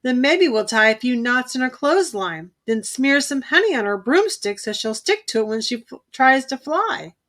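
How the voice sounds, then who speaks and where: A woman's voice: high voice, sing-song, moderate pace, few pauses, fairly bright tone. A woman voicing a mischievous young bully bear, a taunting gang leader in a children's storybook. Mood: scheming. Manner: taunting, scheming.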